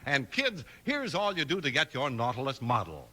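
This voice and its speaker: A male 1950s radio announcer pitching to children with emphatic, excited salesmanship. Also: normal speed, husky.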